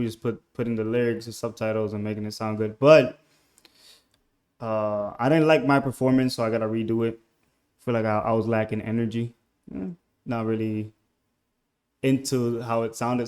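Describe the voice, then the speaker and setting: A young man from Puerto Rico with a Puerto Rican accent and Spanish as his first language, a musician speaking hesitantly and softly in a casual interview over a call, often trailing off mid-thought. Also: medium pitch, fairly even intonation, moderate pace, smooth. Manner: hesitant, soft-spoken, trailing off. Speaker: musician guest.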